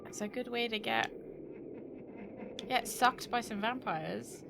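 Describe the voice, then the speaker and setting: A woman's voice: on the high side, slow, vocal fry, noisy background. A British woman chatting casually and thinking aloud to viewers as she streams a video game. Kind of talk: casual chat while playing a game.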